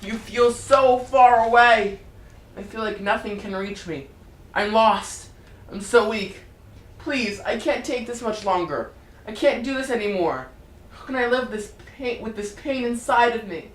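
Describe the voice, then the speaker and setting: A woman performing a dramatic reading as a tormented, despairing figure possessed by demons, swinging between hushed and loud pleading. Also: on the high side, measured pace, natural voice. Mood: desperate, weak. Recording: roomy echo, music underneath.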